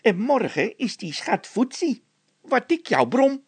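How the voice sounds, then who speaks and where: High-pitched, scolding workman played by a man. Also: big pitch swings, unhurried, gravelly, breathy edge, no echo, full character voice. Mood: angry, lamenting. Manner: exclamatory, indignant. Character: irritable, scolding workman, male schemer.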